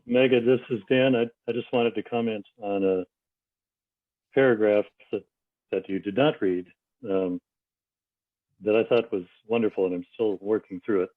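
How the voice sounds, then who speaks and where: A man's voice: lowish voice, steady tone, moderate pace, pauses often. A man giving a casual, thoughtful comment as an audience member at a book discussion. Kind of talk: casual, thoughtful comment with a read-aloud passage. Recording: thin phone-like call audio.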